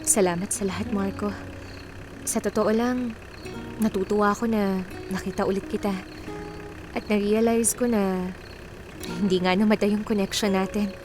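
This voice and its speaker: A woman playing a kind, composed doctor who was once a star, speaking gently and conversationally in a radio drama. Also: medium pitch, fairly even intonation, moderate pace, pauses often, music in the background, lightly acted. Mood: fond, sincere.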